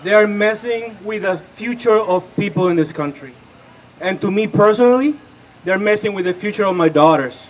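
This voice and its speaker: A man's voice: sits high, normal speed, muffled recording, a little hiss or hum. Rousing Peruvian-born man at a rally. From Peru. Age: 30s. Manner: rousing, asks the crowd questions. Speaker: rally speaker, political organizer. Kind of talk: impassioned rally speech. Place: outdoor rally.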